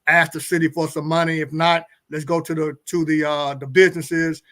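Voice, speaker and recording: A middle-aged man with a fairly high voice talks earnestly in a talk-show conversation. He often doubles back on words as he works toward his point. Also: little pitch movement, moderate pace, a bit bright. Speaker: guest pastor and co-host.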